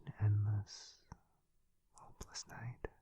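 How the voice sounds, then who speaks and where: A man's voice: lowish voice, flat delivery, takes it slowly, mellow, husky, no room sound, heavily acted. A man voicing a devoted immortal vampire lord in a tender, intimate whisper for a boyfriend ASMR roleplay. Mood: tender, adoring.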